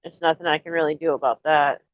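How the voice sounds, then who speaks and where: A woman chatting casually to viewers on a live stream, a little nasal and stuffy from allergies, rambling in a relaxed way. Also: low voice, fast, dry recording, phone-quality sound. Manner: rambling, relaxed, talks straight to chat.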